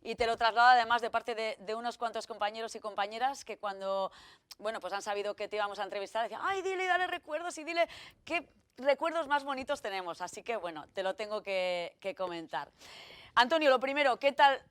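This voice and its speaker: A woman's voice: on the high side, lively intonation, brisk, fairly bright tone, dry recording. A woman hosting a regional TV magazine, chatting through an interview with lots of fillers and self-corrections. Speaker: TV show host.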